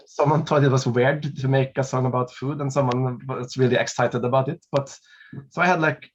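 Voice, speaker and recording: A man in his thirties talks through an interview on a video call, pausing on fillers and laughing softly between phrases. His voice sits at a middle pitch with an even, flat melody. The laptop-mic audio is slightly dull and lo-fi. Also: a little hiss or hum. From Norway. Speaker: singer and documentary subject. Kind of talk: casual interview answers over video call. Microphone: laptop webcam mic on a video call.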